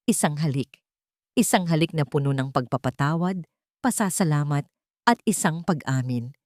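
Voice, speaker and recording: Woman, storyteller, scripted narration, deep voice, dry recording. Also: darkish tone, no room sound. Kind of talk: scripted audio drama narration.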